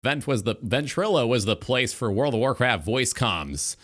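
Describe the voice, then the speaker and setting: A man's voice: medium pitch, animated, moderate pace, a bit bright. A man hosting a casual talk show, giving rambling, crudely joking reaction commentary. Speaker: talk show host and streamer.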